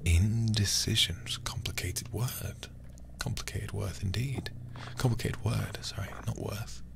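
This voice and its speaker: A man doing hushed ASMR game commentary as a livestreamer, murmuring softly and often whispering close to the microphone. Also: lowish voice, steady tone, unhurried. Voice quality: soft, breathy, often whispered. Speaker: livestreamer playing a horror game.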